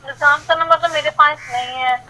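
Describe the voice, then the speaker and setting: A woman's voice: high voice, fairly even intonation, brisk, bright, forceful tone. A woman talking informally on a recorded phone call, hesitant and repeating herself as she explains. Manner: hesitant, repetitive, evasive. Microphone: telephone.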